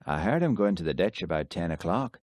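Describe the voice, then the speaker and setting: A man's voice: low voice, very animated, fairly quick, a little mellow, no room sound, clean studio sound. A man reading an audiobook, voicing a village neighbour who reports events in a matter-of-fact way. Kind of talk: audiobook character voice.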